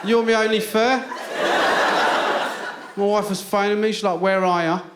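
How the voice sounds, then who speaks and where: A British man doing a stand-up set in a theatre, telling stories with deadpan punchlines. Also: high voice, moderate pace, heavy background noise. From Britain. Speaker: stand-up comedian. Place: large theatre with live audience. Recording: stage mic in theatre. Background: loud audience laughter.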